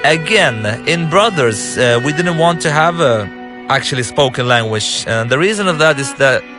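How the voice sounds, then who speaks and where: A man from Lebanon, an Arabic native speaker with a Lebanese accent, gives a halting developer commentary as the game's writer-director, often searching for his words. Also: on the high side, expressive, over music.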